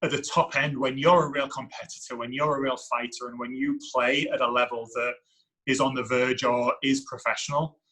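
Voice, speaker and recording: A man in his thirties, a soccer coach, with a deep voice and a non-American accent, answering interview questions in a reflective, thinking-aloud way. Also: level tone, brisk, sharp tone, slightly rough, poor audio. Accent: foreign to the United States. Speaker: women's soccer head coach.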